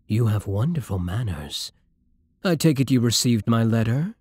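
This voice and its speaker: A suave, possessive vampire aristocrat, acted by a man, speaking slowly and seductively in a close, dry ASMR roleplay. His pitch swoops and dips through courtly teasing, with polite menace underneath. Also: darkish tone, husky, no room sound. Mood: courteous, pleased. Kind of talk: intimate ASMR roleplay acting.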